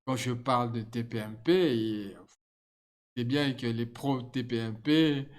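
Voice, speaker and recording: Slow-talking non-native man hosting a channel. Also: medium pitch. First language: not French. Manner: chatty, frequent laughs, halting restarts. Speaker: channel host. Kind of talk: casual commentary to viewers.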